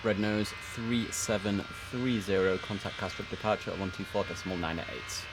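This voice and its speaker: A man's voice: deep voice, moderate pace, over music, poor audio. A man livestreaming a game, mixing casual muttered chat with clipped air traffic control phraseology. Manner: casual, muttering to himself between clipped instructions. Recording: clear background noise under the voice.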